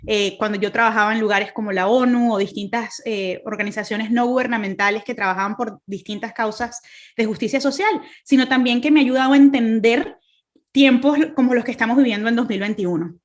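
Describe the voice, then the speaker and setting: A woman's voice: fairly high pitch, brisk. Venezuelan woman giving an earnest talk. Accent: Venezuelan Spanish, Caracas. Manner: earnest, many fillers and restarts. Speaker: guest speaker. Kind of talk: online faith talk. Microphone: video call.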